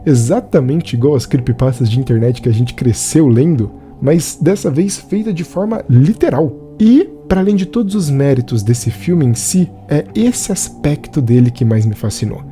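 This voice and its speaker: A man's voice: mid-range voice, lively intonation, moderate pace, hardly any pauses, warm tone, faint music behind. A man with a Brazilian accent giving a conversational scripted narration as a film reviewer. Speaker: video essayist and film reviewer.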